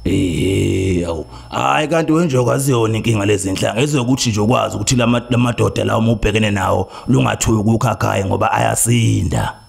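A South African man voicing a solemn, slow-spoken traditional healer in a prank call, deliberate and hesitant as he repeats himself. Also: lowish voice, creaky. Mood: persuasive.

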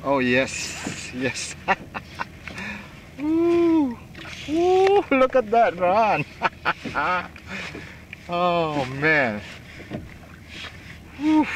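A middle-aged man filming himself from a kayak on open water, calling out short excited reactions with whoops and laughter. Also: high-pitched, drawn-out words, long pauses. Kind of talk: live reactions while fishing. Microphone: action camera mounted on kayak. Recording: wind and water noise.

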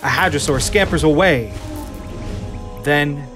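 A man's voice: on the high side, measured pace, music in the background. Man narrating stage directions. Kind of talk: scripted narration of an audio drama.

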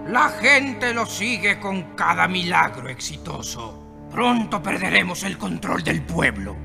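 A man playing a worried, plotting temple elder with a gravelly voice in a dubbed animated Bible film. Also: high voice, slow, music in the background, full character voice. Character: worried plotting male temple elder, fearful of losing power.

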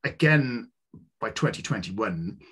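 Husky guy hosting a video call podcast, keeps restarting his questions. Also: fairly low pitch, lively intonation, takes it slowly, a bit bright. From Wales. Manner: hesitant, stumbling restarts when asking. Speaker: podcast host. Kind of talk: casual interview chat. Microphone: desk microphone over video call.

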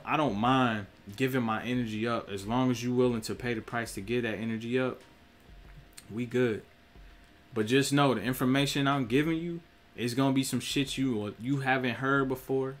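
A young man, streaming from a small room, preaching about spirituality in a direct, profane way. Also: medium pitch. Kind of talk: casual spiritual monologue.

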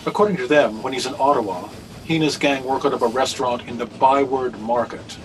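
An older man acting in a radio drama as an anxious, grave reverend with a deliberate, formal way of talking. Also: medium pitch, lightly acted. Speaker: radio play actor. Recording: distant, noisy video call audio.

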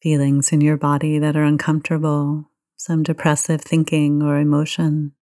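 A woman leading a guided meditation in a gentle, soothing, unhurried manner. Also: low-pitched, monotone. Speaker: meditation teacher.

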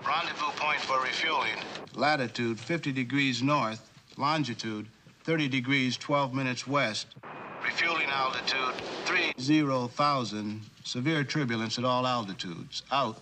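A man playing a brisk station ship radio operator in a 1960s drama, speaking in formal, precise procedure. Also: mid-range voice, natural voice. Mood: businesslike. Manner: formal, precise reading of coordinates. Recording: old soundtrack with clear background noise.

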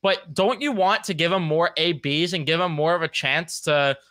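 Young man venting in a bright voice. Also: fairly high pitch, normal speed, no room sound, studio quality. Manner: frustrated, blunt and rambling. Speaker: sports podcast host. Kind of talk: solo postgame baseball recap. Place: home room, gaming chair.

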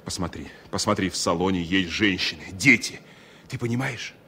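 An actor playing a proud, indignant engineer and jealous lover in a Soviet radio drama. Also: male voice, deep voice, normal speed, sharp tone. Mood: indignant, heated. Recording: noisy old radio play recording.

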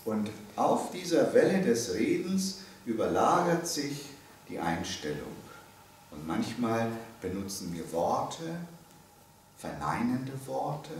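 The recording is roomy and heavily echoing, with the voice some way from the microphone. A man in his early seventies lectures with a very lively, swinging pitch. He is emphatic and deliberate, pausing on key words and posing rhetorical questions. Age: early 70s. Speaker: lecturer, theology professor. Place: indoor seminar room. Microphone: microphone on a stand. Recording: very echoey room, distant, faint noise.

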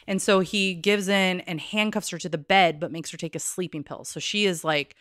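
A woman's voice: fairly low pitch, steady tone, moderate pace, fairly bright tone. A woman hosting a true crime podcast, telling stories in a casual, candid, informal way. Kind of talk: casual storytelling chat.

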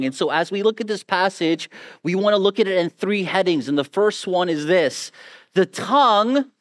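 A man in his forties preaching a sermon as a pastor, measured and emphatic, repeating key phrases. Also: high voice. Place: on stage in a church auditorium. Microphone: headset mic.

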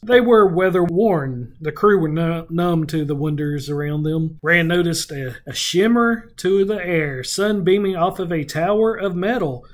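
A podcast host recapping a fantasy novel in a casual read-along chat. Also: mid-range voice. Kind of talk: book recap with casual banter.

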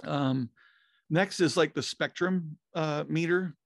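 A middle-aged man with a gravelly, fry-edged voice talks shop at an even pitch, pausing often on fillers and restarting his sentences. The sound is close and dry, with no room around it. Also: dry recording. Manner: rambling, many fillers and restarts. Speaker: podcast co-host. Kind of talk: casual technical chat. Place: home music studio. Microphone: studio mic with headphones, video call.

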